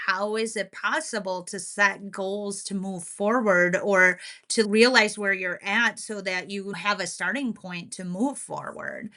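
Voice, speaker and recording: A woman with a bright, clear-cut voice at an ordinary pitch co-hosts a podcast. She talks in a casual, reflective way and comes across as agreeable and lightly self-deprecating. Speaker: podcast co-host.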